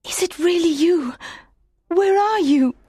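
A woman's voice: extremely high pitch, takes it slowly, warm tone, no echo, clean studio sound. A woman playing a frightened, pleading young captive woman in audiobook dialogue. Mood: anxious, pleading.

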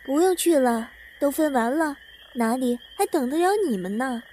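Boastful young maidservant, acted by a woman, high-pitched and chatty, for a historical audio drama. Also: normal speed, background noise, natural voice. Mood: showing off. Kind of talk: Chinese audio drama acting.

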